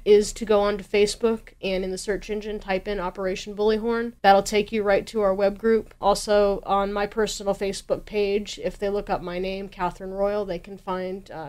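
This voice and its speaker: A woman's voice: mid-range voice, a little mellow. Earnest woman explaining a cause. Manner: earnest, emphatic. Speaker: interview guest, anti-bullying campaigner. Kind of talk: interview answers, explanatory.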